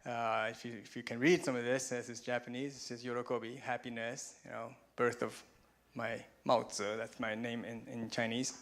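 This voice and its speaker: A man's voice: medium pitch, flat delivery, moderate pace, fairly bright tone. A man in his forties from Taiwan gives a chatty, self-deprecating conference talk with slides and chuckles at his own jokes. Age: 40s. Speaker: conference speaker. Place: stage in a conference room.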